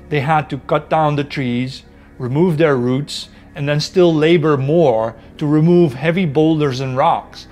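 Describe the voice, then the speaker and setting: A man's voice: fairly high pitch, a little mellow. A man in his fifties from the Netherlands, with Dutch as his first language, giving a solemn, impassioned spoken essay outdoors in a forest. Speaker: video essay host. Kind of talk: earnest spoken essay to camera.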